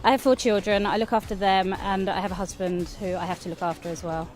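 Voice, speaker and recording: Woman, mid pitch, faint music behind, wry interview answers. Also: fairly even intonation, fast, darkish tone. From West Sussex. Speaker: competitor interviewee.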